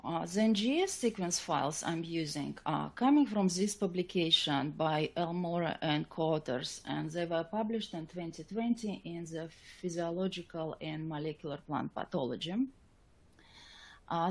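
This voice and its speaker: A woman scientist presenting a technical slide lecture in a webinar, with a halting, hesitant delivery. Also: on the low side.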